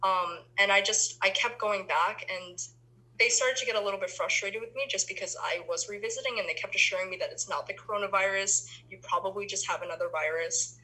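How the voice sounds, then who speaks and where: A young woman from the Philippines answers interview questions with a bright, sharp tone. Her voice is a little husky and breathy. She talks casually, with lots of ums and restarts. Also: medium pitch, fairly quick, rough recording. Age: young adult. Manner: chatty, many ums and restarts. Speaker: interviewee, university student.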